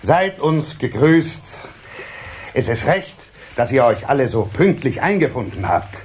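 A man's voice: medium pitch, big pitch swings, measured pace, a little hiss or hum. An actor playing a proud, hospitable water-sprite father in a children's audio play, hearty and ceremonious.

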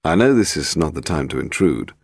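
A British man voicing a polite, inquisitive gentleman detective in an audiobook. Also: a bass voice, sing-song, fairly quick, gravelly, husky, no room sound, studio quality, heavily acted. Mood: probing. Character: courteous, probing gentleman detective-novelist.

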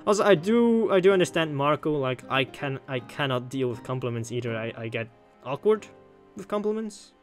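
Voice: medium pitch, animated, normal speed. An informal let's player commentates on a visual novel with music playing behind. The talk rambles along and repeats phrases for emphasis, slipping between commentary and read dialogue. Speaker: gameplay commentator reading a visual novel aloud.

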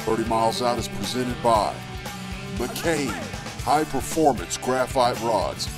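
A man reading a deliberate sponsor announcement for a fishing show. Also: medium pitch, animated, over music. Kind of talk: sponsor read.

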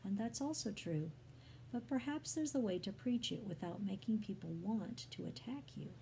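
A woman voicing a calm, diplomatic male missionary in a story, with measured reasoning. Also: mid-range voice, vocal fry, a little hiss or hum, rough recording. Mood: calm, conciliatory.

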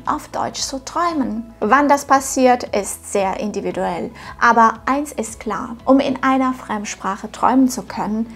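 A woman in her thirties, not a native speaker, teaching a scripted video lesson to camera from a home studio in an upbeat, encouraging way. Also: a little high. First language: not German. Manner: upbeat, encouraging, addresses viewer directly. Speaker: German teacher, channel host.

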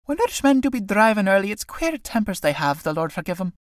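A woman's voice: mid-range voice, big pitch swings, brisk, no echo, studio quality, pitched above the performer's own voice, natural voice. A woman reading a play aloud and voicing a sly, drink-loving old tinker woman with a mocking, wheedling manner.

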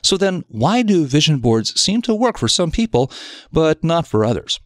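A man reading a scripted self-development article aloud in a measured, articulate, explanatory manner. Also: a little high, very animated, no room sound, clean studio sound. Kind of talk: scripted narration of an audio article.

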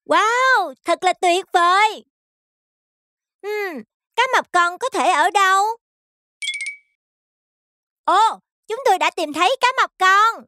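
A woman's voice: very high voice, slow pace, pauses often, bright, forceful tone, no room sound, full character voice. A woman voicing a helpful, childlike little fox explorer in a children's cartoon, exclaiming and encouraging. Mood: cheerful.

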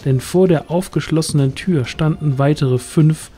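A man's voice: fairly high pitch, measured pace, dark tone. A man reading scripted narration as the storyteller of a fantasy audio drama. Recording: music underneath.

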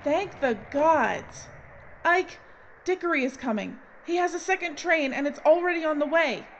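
A high-pitched, tense female filmmaker in a horror audio drama played by an actress. She gives orders in short, urgent bursts. The recording is dull, with heavy noise behind her. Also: lively intonation, moderate pace. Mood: alarmed. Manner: urgent, clipped, commanding. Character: tense, bossy female filmmaker trapped in a frozen station.

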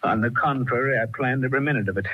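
An actor playing a suave, sardonic older kidnapper in an old radio drama, with a husky voice. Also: male voice, mid-range voice, fast, close to an everyday voice. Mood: menacing, gloating. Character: suave, scheming older male swindler-turned-kidnapper. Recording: muffled old radio recording.

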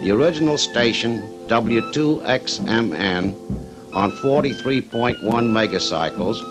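A man making a formal, measured 1950s radio announcement. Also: mid-range voice, animated, rough recording. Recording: 1950s radio archive with music.

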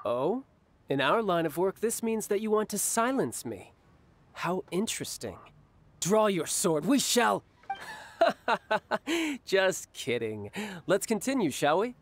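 A man's voice: high voice, sing-song, slow pace, heavily acted. A man playing a cocky young schemer in a fantasy video game, breezy and teasing with a playful menace. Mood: mock menace. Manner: breezy, smooth-talking, teasing. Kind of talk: video game character dialogue. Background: faint music.

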